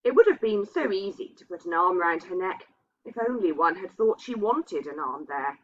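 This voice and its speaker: Husky woman reading, slightly muffled. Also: fairly low pitch, expressive, normal speed. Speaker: reader. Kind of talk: scripted literary ghost story narration. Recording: dull, muffled lo-fi sound.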